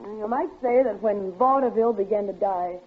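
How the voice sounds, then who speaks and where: A woman's voice: mid-range voice, breathy edge, lightly acted. An actress playing a brash, chatty young vaudeville showgirl in a 1950s radio drama. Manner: chatty, brassy, quick to snap. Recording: tinny old radio broadcast with faint hiss.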